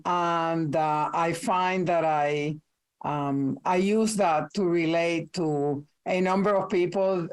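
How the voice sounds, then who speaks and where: An older woman whose English carries a Puerto Rican Spanish accent, a native Spanish speaker. Her voice sits somewhat low, and she takes her time, choosing each word with deliberate pauses in formal panel remarks. The sound comes through a video call. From Puerto Rico. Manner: measured, deliberate, with thoughtful pauses. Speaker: ambassador and panelist.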